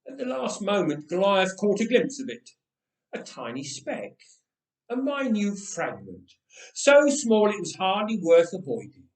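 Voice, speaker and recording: Male storyteller, theatrical and slow-paced, wide swings in pitch, recorded in an echoey church. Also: wildly swooping pitch, a little mellow. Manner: theatrical, deliberate. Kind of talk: expressive dramatic story reading. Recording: echoey room, distant from mic.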